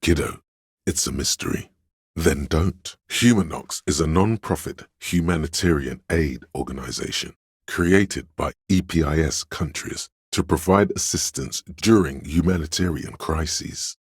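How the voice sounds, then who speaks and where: Wry know-it-all mind voice, game narration, rumbling bass, dry studio sound, erudite, measured, pedantic. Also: male voice, breathy edge, no room sound, full character voice. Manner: measured, pedantic, lecturing, dryly amused. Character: know-it-all inner voice of encyclopedic trivia, erudite, unhurried, wry.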